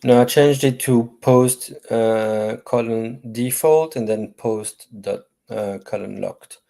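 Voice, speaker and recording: A man's voice: mid-range voice, slow, creaky, warm tone. A Belgian man with a Belgian accent, a designer guiding a live build with short remarks and hesitations. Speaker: designer guest on a build stream. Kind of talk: casual live coding chat.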